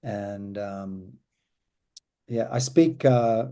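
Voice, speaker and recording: An Australian man, a musician guest, chatting casually in an interview, pausing and repeating words as he thinks. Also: fairly low pitch, dark tone. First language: German. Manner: thoughtful, repeats words as he gathers thoughts.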